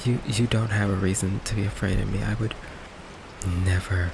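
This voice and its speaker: A man playing a gentle, insecure goth vampire boyfriend in an ASMR roleplay, soft-spoken, halting and apologetic, with a gravelly voice. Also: on the low side, noisy background. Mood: hesitant, vulnerable. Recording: steady rain ambience behind the voice. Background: rain ambience.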